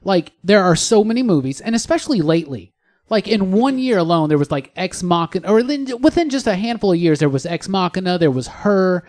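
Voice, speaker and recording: A man with a notably high voice moderates a podcast discussion. He talks casually and inquisitively, framing questions for the others and checking that they agree. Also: animated, a little fast, darkish tone. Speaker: podcast host.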